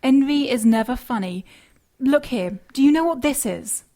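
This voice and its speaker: A brainy British tech expert in an audio drama. Her fairly high voice is precise and dry, with a teasing, superior edge. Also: expressive, moderate pace, mellow. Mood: smug, brisk. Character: crisp, brainy, teasing female tech-savvy partner.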